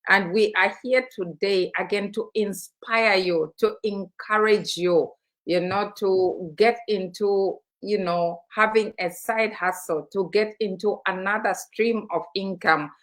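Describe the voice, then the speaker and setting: A woman from Zambia hosts a live studio stream, urging listeners with eager, persuasive energy. She talks in a mid-range voice and leans on frequent fillers as she builds her pitch. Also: fairly even intonation, unhurried. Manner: enthusiastic, persuasive, many fillers. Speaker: co-host. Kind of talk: live-streamed motivational talk show.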